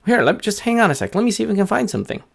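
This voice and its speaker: A man voicing a small, friendly, eager male bird in a children's story, chatty and excitable with halting speech. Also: high-pitched, vocal fry, voice pushed up, exaggerated voice. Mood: helpful. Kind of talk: improvised children's story character voice.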